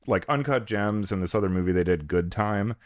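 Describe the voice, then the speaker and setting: Male podcast co-host, deep voice, dry close sound, loose and rambling conversational delivery. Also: fairly quick, dry recording. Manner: rambling, peppered with "like" and "you know". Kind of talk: casual chat about films.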